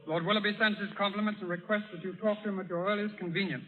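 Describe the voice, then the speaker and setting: Man acting respectful young-sounding pirate sailor, high pitch, radio play, thin tinny recording. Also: fairly even intonation, fast, soft background music, lightly acted. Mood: dutiful. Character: dutiful, respectful pirate crewman reporting to his captain. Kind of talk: 1930s radio drama acting.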